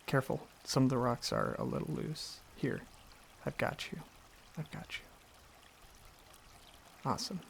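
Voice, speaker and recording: A man playing a gentle, affectionate dragon boyfriend in an intimate ASMR roleplay, speaking softly and earnestly with some hesitant rambling. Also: mid-range voice, huge pitch swings, unhurried, pauses often, slight background noise, heavily acted. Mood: caring, easygoing. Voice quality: soft, husky, slightly gravelly, breathy.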